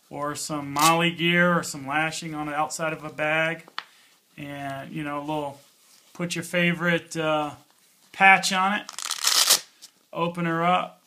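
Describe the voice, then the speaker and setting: A man's voice: on the high side, noisy background. A man giving a casual hands-on product review in an informal, plainspoken, folksy way. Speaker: product reviewer, channel host.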